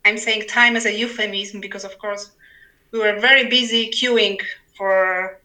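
A woman's voice: mid-range voice, fairly even intonation, normal speed, pushed and bright, rough recording. A woman, talking conversationally and with wry humor as an interview guest. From Poland. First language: Polish. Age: 40s. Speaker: podcast guest, writer. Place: remote internet call.

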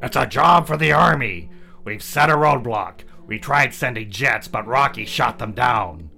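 A man's voice: mid-range voice, lively intonation, measured pace, vocal fry, bright, forceful tone, soft background music, heavily acted. A man doing a barking old army general. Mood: barking, urgent. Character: stern gray-haired army general uncle.

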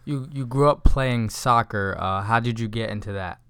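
A young man hosting a casual interview show, asking questions in a relaxed, conversational way. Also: medium pitch, moderate pace, clear, resonant tone, no room sound, studio quality. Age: young adult. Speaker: host.

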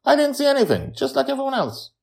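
Man putting on a breezy high-pitched young girl. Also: wildly swooping pitch, quick pace, gravelly, darkish tone, full character voice. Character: young girl, breezy adventurous dream child.

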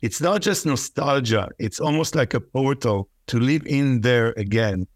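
A man from Israel, whose first language is Hebrew, speaking thoughtfully as a poet guest in an interview, pausing and laughing softly. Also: mid-range voice, no room sound, studio quality. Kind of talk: reflective interview talk.

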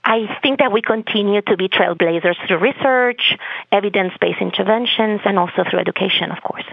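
A woman's voice: medium pitch, fairly quick, vocal fry, slightly rough, no echo. Spanish-accented woman on a phone line. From Spain. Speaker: expressive arts therapist. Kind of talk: podcast interview. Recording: phone-line audio, dry.

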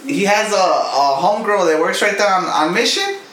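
A man's voice: sits high, animated, moderate pace, a bit bright. A man in his thirties telling stories casually among friends, cursing and defending himself. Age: 30s. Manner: profane storytelling, defensive. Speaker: podcast co-host. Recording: echoey room with faint noise.

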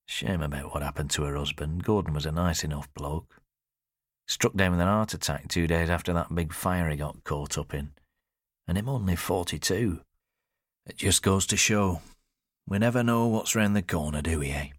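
A man's voice: low voice, normal speed, no room sound, clean studio sound. A man voicing an older man in an audiobook, stern but kindly and reflective. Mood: disapproving. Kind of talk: audiobook character dialogue.

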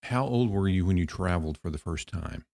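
Low-voiced man hosting a podcast. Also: lively intonation, fairly quick, vocal fry, darkish tone, no room sound. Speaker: podcast host.

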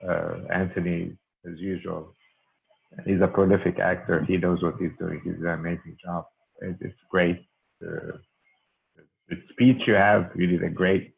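Muffled, gravelly low-voiced man. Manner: halting, pausing between short phrases. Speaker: audience member giving feedback. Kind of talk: casual feedback in a discussion.

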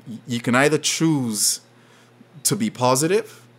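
A man from Saint Kitts, a podcast guest telling a story in lively conversation, often laughing and acting out what people said. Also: medium pitch, unhurried, husky. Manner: animated, laughs often, acts out quotes.

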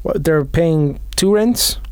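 A man's voice: on the high side, animated, takes it slowly, clear, resonant tone, no room sound. A young man co-hosting a podcast, joking around in easygoing casual chat. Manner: easygoing, jokey banter. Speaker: podcast co-host.

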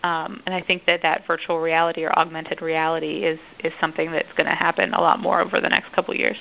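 A woman who writes for a museum, answering interview questions thoughtfully with many filler pauses. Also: deep voice, little pitch movement, gravelly, dull audio.

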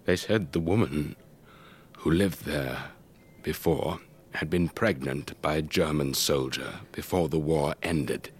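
An actor playing an elderly English retired air force officer, telling his story in a measured, wistful, old-fashioned way for a radio drama. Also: extremely low pitch, full character voice. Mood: pained, reflective. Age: older. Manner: measured, old-fashioned, reflective, breathy trailing phrases. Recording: faint background noise.

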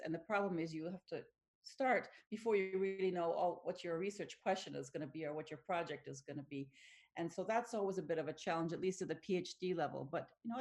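A middle-aged woman whose first language is Finnish, answering interview questions thoughtfully with frequent restarts and small laughs. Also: fairly low pitch. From Tanzania. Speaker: academic researcher guest.

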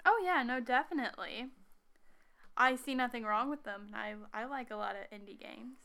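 A young woman co-hosting a casual podcast, relaxed and teasing, laughing often. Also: on the high side, normal speed. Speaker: podcast co-host. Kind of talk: casual chat.

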